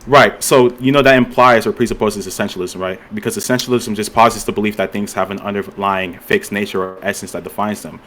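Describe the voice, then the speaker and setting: A man moderating a voice-chat debate who halts and fills his gaps as he sorts out who said what. His voice is on the low side, with a faint hiss behind it. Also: steady tone, brisk, few pauses, fairly bright tone. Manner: hesitant, full of fillers.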